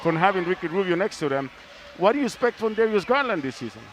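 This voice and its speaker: A man's voice: sits high, very animated, fast. A man hosting a live sports discussion, often repeating phrases as he builds up to his questions. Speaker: sports broadcast host. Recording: faint background noise.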